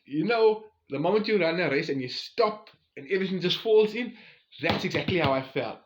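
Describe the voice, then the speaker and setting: A man's voice: sits high, expressive, a little fast, lo-fi sound. A man of about forty with a South African accent, telling a personal story in a casual, conversational way and addressing his listeners directly.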